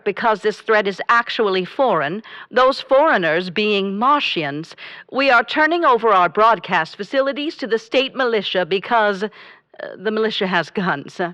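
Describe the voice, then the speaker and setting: A woman's voice: medium pitch, a bit bright, no echo. An actress playing a pompous, stuffy female radio station manager, making a formal speech in a comedic radio drama.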